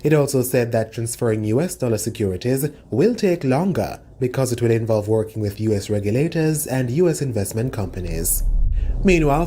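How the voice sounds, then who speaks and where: A man reading business news headlines from a script, measured and formal. His voice is dark and mellow, pitched in the middle, with a faint hiss of noise behind it. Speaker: news reader.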